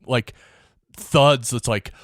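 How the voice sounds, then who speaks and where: A man talks like a podcast host in casual conversation. His delivery is informal and a bit rambling, at a middling pitch. The sound is close and dry, with no room around it. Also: fairly even intonation, slow, fairly bright tone, dry recording. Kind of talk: casual interview chat.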